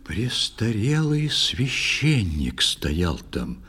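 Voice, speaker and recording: Мужчина-рассказчик, выразительная напряжённая декламация. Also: male voice, on the low side, huge pitch swings, very slow, a bit bright, slightly rough. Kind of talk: suspenseful scripted verse narration for audio play.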